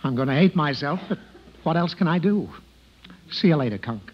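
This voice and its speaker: A man's voice: fairly high pitch, animated, a little fast, natural voice. An actor playing a flustered, exasperated, pleading father in a 1950s radio comedy. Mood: rueful. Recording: dull, faintly noisy old radio recording.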